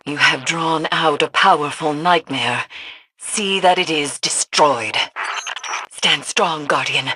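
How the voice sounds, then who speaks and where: An actress playing a grave mystic seer in a video game, speaking solemnly and formally. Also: female voice, low voice, slow pace, bright, forceful tone, husky, dry recording, exaggerated voice. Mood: ominous, commanding.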